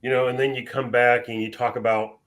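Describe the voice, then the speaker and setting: A man's voice: medium pitch, level tone, fairly quick, fairly bright tone. A man co-hosting a podcast, rambling and thinking aloud with plenty of fillers in casual chat. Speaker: podcast co-host.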